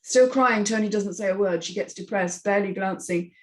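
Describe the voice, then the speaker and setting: A woman's voice: medium pitch, fairly quick. A woman reading screen directions aloud and moderating a script discussion. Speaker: host of script read and feedback session.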